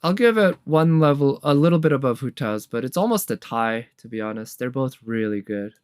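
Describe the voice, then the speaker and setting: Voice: medium pitch, animated, a little fast, darkish tone, clean tone, dry recording, studio quality. A casual reviewer giving reaction commentary on game trailers, conversational and musing, as if thinking aloud. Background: game trailer music.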